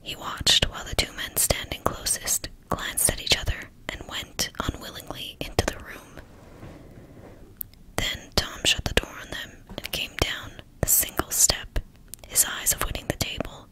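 A woman reading a novel aloud in a steady, hushed whisper. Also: a little slow, low-quality recording. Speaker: narrator.

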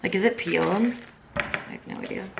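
A woman's voice: mid-range voice, a little fast, creaky, reverberant, noisy background. A young woman, chatting casually to the camera in a vlog, upbeat and full of "like" and "y'all". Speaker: vlogger. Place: home kitchen and apartment balcony.

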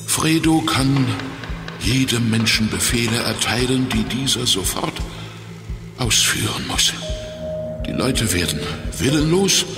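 An actor playing a weakened, injured ex-crook turned private detective in a children's audio drama, confessing in a halting, strained and determined way. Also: male voice, mid-range voice. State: weak and exhausted from injury. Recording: echoey reverberant hall, music underneath.

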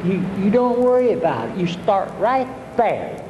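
A man's voice: high voice, sing-song, moderate pace, reverberant, long reverb tail, muffled recording, background noise. An older man, giving a plainspoken, homespun fishing talk to an audience, repeating his points. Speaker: fishing instructor. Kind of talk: folksy seminar talk.

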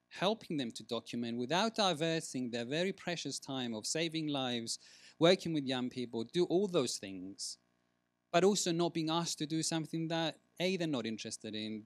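A man's voice: mid-range voice, no echo. Man presenting, dry sound. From Greece. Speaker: researcher giving a conference talk. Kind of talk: conference presentation with discussion. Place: large conference room, at a lectern.

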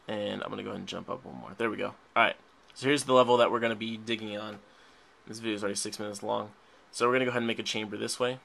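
Gravelly young man rambling over gameplay. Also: lowish voice, a bit bright, slight background noise. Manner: rambling, chatty, frequent laughs. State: a little sick. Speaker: gaming channel host. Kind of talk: casual let's play commentary. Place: indoors at a desk, filming a tablet.

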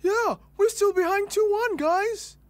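A woman's voice: extremely high pitch, a little slow, darkish tone, no room sound. A woman voicing a young boy footballer who explains his team's new trick in an English cartoon dub. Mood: explaining. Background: children's music.

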